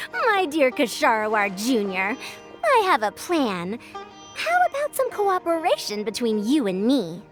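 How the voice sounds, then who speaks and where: A self-assured, scheming young scholar from a video game. Her fairly high voice swings dramatically as she coaxes, with clear music behind. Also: huge pitch swings, slow pace, exaggerated voice. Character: scheming, self-assured girlish academic woman.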